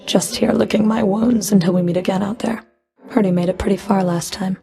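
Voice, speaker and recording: A woman's voice: medium pitch, fairly even intonation, a little fast, creaky, mellow, natural voice. A woman playing a stern, sardonic underworld fury in a video game, speaking in a cool, clipped, dry and commanding manner. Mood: cool, guarded. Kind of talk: video game character voice lines.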